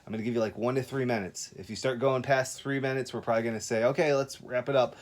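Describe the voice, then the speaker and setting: Man teaching kindergartners, mid-pitched and encouraging. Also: fairly even intonation, quick pace. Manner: instructive, step-by-step, frequent check-ins like okay and all right. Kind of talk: informal remote lesson for young children.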